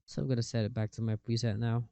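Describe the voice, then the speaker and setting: A man giving a casual software tutorial in a halting, step-by-step way, speaking into a cheap microphone. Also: mid-range voice, level tone, vocal fry, smooth, dry recording. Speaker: tutorial presenter. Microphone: cheap microphone with software processing.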